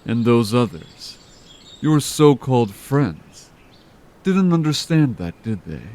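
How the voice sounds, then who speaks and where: A man voicing a calm, manipulative villain leader who taunts in an unhurried, smooth way in an audio drama. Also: medium pitch. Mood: taunting. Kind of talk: amateur audio drama acting.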